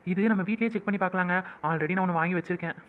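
Emotional young wife, played by a man: high, rapid, prayerful; lo-fi phone-like audio. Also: lightly acted. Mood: coaxing. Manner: pleading, prayerful, weepy with joy. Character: young hopeful wife, newly pregnant, emotional. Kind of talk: home-made skit acting. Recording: tinny phone-like sound.

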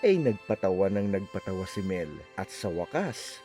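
A man reading a children's story aloud with expressive, animated narration. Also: deep voice, moderate pace, music in the background.

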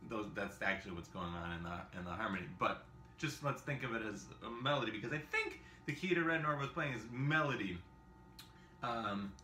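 A man's voice: on the low side, pushed and bright. Thirties male teacher, chatty and self-deprecating, recorded on camera in an echoey kitchen with xylophone notes behind him. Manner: rambling, self-deprecating, with frequent fillers and laughs. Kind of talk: casual music lesson. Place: home kitchen. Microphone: camera in front at a short distance.

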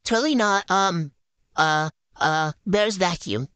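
A woman's voice: fairly low pitch, slow, a bit bright, clear, resonant tone, no room sound. A woman voicing characters in a game video with playful improvised banter, giggly and boastful, full of teen slang. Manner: giggly, silly, bragging, talks in teen slang.

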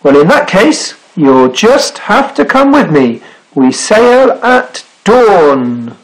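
A man voicing a genial, teasing, adventurous sailor in a children's storybook, speaking in a deliberate way. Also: extremely high pitch, big pitch swings, gravelly, voice pushed up, heavily acted, low-quality recording.

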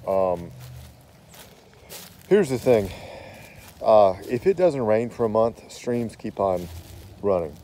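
A man's voice: fairly low pitch, expressive, slow pace, pauses often, mellow, a little hiss or hum. A man, a landscaping contractor, giving a plainspoken, folksy walk-through explanation outdoors in a wooded backyard, filming himself on a phone. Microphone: phone camera held by speaker.

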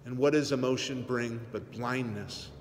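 A man in his forties, a priest, giving an earnest, measured homily to his congregation in a large church. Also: medium pitch, a little hiss or hum. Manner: earnest, measured preaching with teasing asides. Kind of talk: sermon. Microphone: phone camera on the pulpit, aimed up. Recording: long church reverb.

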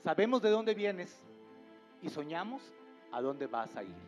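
Male academy director, middle-aged, slightly high voice, formal and deliberate, faint music underneath. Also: sing-song. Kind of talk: solemn feedback speech. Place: seated in TV studio audience. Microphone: handheld microphone. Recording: dull sound, faint music behind.

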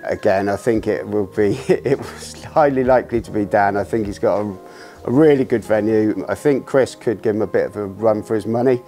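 A man with a lowish, mellow voice gives relaxed, hedging interview answers. It is recorded indoors on a lapel mic. Faint music plays underneath. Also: normal speed. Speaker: angler interviewee. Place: indoor room.

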